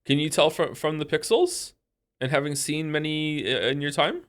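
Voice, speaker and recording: Man livestreamer chatting casually, excitable. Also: on the high side, normal speed. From Wales. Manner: excitable, incredulous, rambling, thinking aloud. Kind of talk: casual chat with stream viewers while playing a guessing game.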